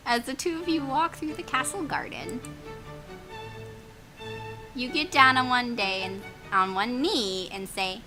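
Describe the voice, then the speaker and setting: A woman hosting a let's play, reading story text aloud in a playful, expressive way with exclamations and casual asides. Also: high voice, low-quality recording. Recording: music behind the voice.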